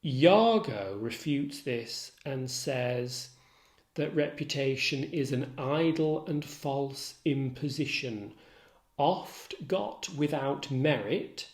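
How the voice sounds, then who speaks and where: A man lecturing as a literature tutor, measured, articulate and instructive in his delivery. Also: medium pitch, lively intonation. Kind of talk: literature lecture with recited quotations. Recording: roomy echo.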